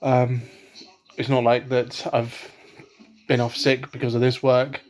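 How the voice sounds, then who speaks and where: A man's voice: mid-range voice, little pitch movement, slow pace, darkish tone, poor audio. A young man from England talking at home in a casual vlog, hesitant, with many ums and restarts. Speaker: vlogger. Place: at home, indoors. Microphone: laptop webcam.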